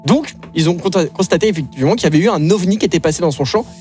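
Man, radio co-host, rapid talk, somewhat high voice, animated storytelling, light music behind.

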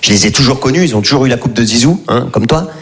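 A man's voice: medium pitch, animated, quick pace. A man in his forties doing stand-up, self-mocking and chatty, on stage at a live show. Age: 40s. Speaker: stand-up comedian. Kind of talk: stand-up routine. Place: comedy stage before audience. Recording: live show with loud crowd.

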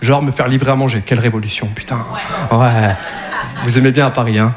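A man in his forties performing a stand-up routine on stage, teasing the crowd with lively asides. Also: medium pitch, brisk. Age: 40s. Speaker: stand-up comedian. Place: comedy venue with live audience. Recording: live stage recording with audible room noise. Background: audience laughter.

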